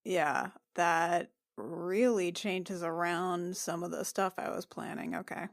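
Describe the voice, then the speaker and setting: A woman's voice: fairly low pitch, steady tone, takes it slowly, creaky, dry recording, studio quality. A woman playing a tabletop roleplaying game, chatting in casual, playful banter.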